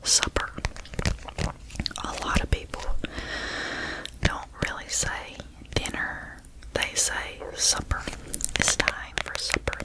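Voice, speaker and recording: Southern woman, young adult, whispered ASMR read, slow and drawn out, repeats her words. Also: poor audio. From Southern United States. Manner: slow, repetitive trigger words with nail tapping. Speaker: ASMR creator.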